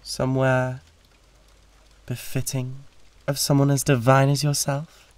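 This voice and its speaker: A man's voice: medium pitch, completely flat delivery, a little slow, no echo, natural voice. A British man playing a suave, condescending, flirtatious demon in a theatrical roleplay audio drama, with a haughty drawl. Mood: seductive.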